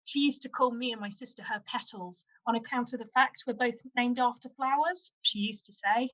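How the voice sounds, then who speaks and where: A woman as a high, bright, cheeky pantomime daughter. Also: moderate pace, rough recording. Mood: wistful, confiding. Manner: chatty, confiding, comic delivery. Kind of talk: radio pantomime acting.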